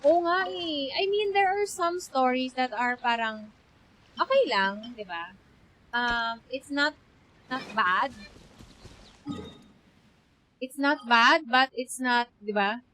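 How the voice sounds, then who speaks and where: A woman with a Filipino accent giving expressive, casual live game commentary, chatting to her viewers. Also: sits high, slow pace, pauses often, rough recording. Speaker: game streamer. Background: game music.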